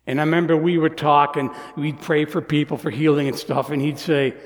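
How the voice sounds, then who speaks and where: Man in his sixties, pastor, sermon, fairly high voice, earnest, soft whispers and emphatic peaks, long hall reverb. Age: 60s. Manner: earnest, shifts between soft near-whispers and raised emphatic lines.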